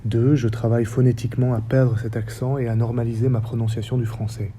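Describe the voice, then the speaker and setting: A man in his forties from the French Basque Country, with a faint Basque accent, giving a reflective public talk as a stage director in measured, short phrases. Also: medium pitch, little pitch movement, dark tone, a little hiss or hum. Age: 40s. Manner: measured, list-like delivery with short paused phrases. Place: theatre stage or hall.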